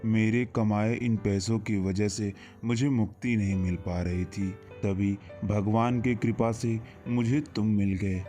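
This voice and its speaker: A man playing the calm, kindly ghost of a dead man, solemn and reassuring, in a horror cartoon. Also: fairly low pitch, slow pace, few pauses, soft background music.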